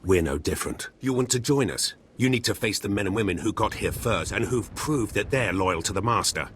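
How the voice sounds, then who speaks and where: An actor playing a stern, wary gatekeeper of a cult in a video game, brusque and authoritative. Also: male voice, on the low side, animated, a little fast, fairly bright tone, a little hiss or hum.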